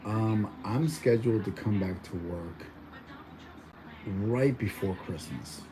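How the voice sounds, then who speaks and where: A man's voice: on the low side, takes it slowly, background noise. A young man born in Mexico chatting casually at home, rambling with lots of fillers and restarts. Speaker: vlogger. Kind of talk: casual chat.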